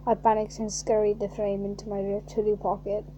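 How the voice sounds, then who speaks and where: A woman reading a fan fiction aloud in a mocking way, reading flatly and then bursting into shrieking, laughing asides. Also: medium pitch, fairly quick, highs cut off, slight background noise.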